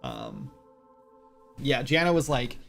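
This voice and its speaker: Dude in his late 30s, kinda gravelly, rambly self-deprecating stream chat at a desk mic. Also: mid-range voice, animated, slow pace, fairly bright tone, faint music behind. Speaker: game developer streaming. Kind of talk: casual livestream chat while working.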